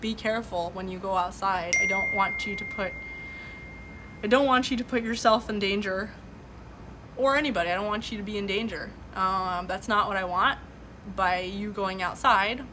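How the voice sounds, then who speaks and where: A woman's voice: mid-range voice, normal speed, noisy background. A woman whose first language is Farsi, hosting a live stream from her home, talking earnestly about current events and answering chat with many fillers and restarts. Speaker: livestream host. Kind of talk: casual political commentary, reading chat. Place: home room with boxes behind.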